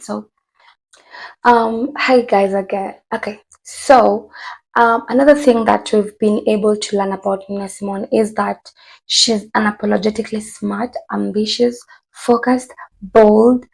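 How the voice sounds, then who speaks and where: A woman talking casually to her viewers, chatty and rambling with many fillers, her voice hoarse from a cold. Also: mid-range voice, low-quality recording. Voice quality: husky, gravelly. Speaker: vlogger. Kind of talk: casual commentary to camera.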